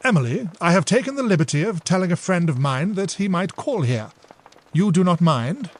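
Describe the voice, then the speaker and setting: A man voicing a gentleman suitor who speaks politely and with assurance. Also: sits high, big pitch swings, a little fast, voice pushed up.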